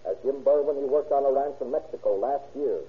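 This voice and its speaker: An actor playing a stern, relentless police captain interrogating a suspect in a 1930s radio drama style, accusing and deliberate. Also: male voice, mid-range voice, close to an everyday voice. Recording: muffled vintage 1930s radio recording.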